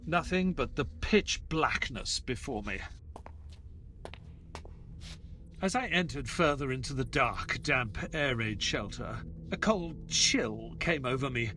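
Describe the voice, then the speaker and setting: An actor playing an earnest, uneasy gentleman companion who narrates an audio play dramatically, with a husky voice. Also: medium pitch, a little hiss or hum. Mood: foreboding.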